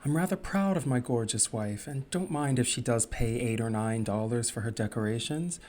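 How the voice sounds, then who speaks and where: A man playing a gentle, modest young husband with a measured, affectionate manner in a period audio drama. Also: medium pitch, a little hiss or hum, natural voice. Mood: affectionate, steady.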